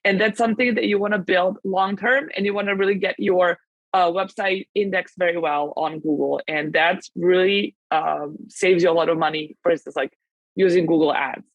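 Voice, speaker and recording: A woman whose first language is Serbian, talking as an interview guest in quick, rambling speech full of "like" and restarts. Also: on the low side, steady tone, no echo, dull audio. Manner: fast, rambling, many fillers and restarts. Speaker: guest, translation agency founder. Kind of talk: casual podcast interview.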